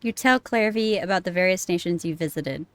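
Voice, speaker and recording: A woman's voice: on the low side, a little fast, no room sound. A woman streamer reacting live to a story game, reading the text aloud, laughing often and swearing. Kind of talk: live gameplay commentary.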